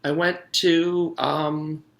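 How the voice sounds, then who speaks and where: A man's voice: a little high, little pitch movement, very slow, vocal fry, fairly bright tone, pitched above the performer's own voice, exaggerated voice. A man voicing a forgetful, matter-of-fact young schoolboy in a storybook, plain-spoken and a little hesitant.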